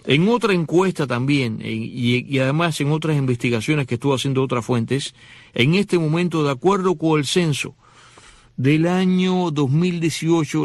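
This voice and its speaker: A man's voice: on the high side, no room sound. A man hosting a radio talk show, talking to the listener in a conversational way, with many fillers and restarts. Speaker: radio host.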